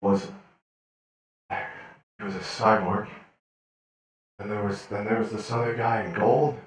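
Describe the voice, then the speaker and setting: A man's voice: lowish voice, little pitch movement. Man as an echoing, dazed cyborg soldier. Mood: confused, strained. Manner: halting, repeats words, fragmented sentences. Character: dazed wounded cyborg soldier recovering his memory, gruff, halting. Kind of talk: improvised online roleplay acting. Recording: heavily echoing, distant-sounding voice.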